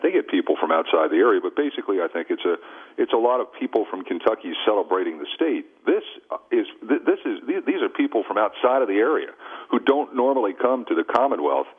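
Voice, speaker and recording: Low-voiced man on thin talk radio. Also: breathy edge, narrow, tinny audio. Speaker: radio talk show host. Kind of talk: conversational sports talk on radio. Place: radio studio. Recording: thin, band-limited radio broadcast.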